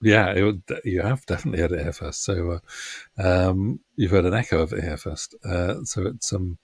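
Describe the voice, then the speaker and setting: Guy being interviewed, low husky voice, kinda hesitant and self effacing, casual chat. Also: normal speed. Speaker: interview guest.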